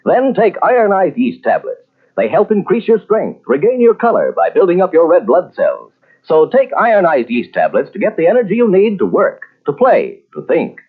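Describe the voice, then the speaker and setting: A man's voice: high-pitched, huge pitch swings, normal speed, husky. A man announcing a scripted 1940s radio advertisement with an emphatic sales delivery. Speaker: commercial announcer. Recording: tinny, worn old radio recording.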